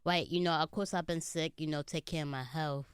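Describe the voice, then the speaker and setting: A man's voice: a little high, fairly even intonation, a little fast, clean tone, no echo, clean studio sound. A man in his late twenties, a comedian guest in a casual interview, talking earnestly and often saying "you know". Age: 20s. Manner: earnest, speaks of faith, many "you know" fillers.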